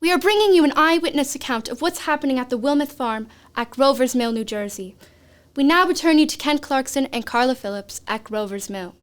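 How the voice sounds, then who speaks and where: A high, lively voice from an actress in a radio play, playing excitable onlooker and announcer parts with big swings in pitch. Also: female voice, a little fast, clear, resonant tone. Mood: brisk, formal.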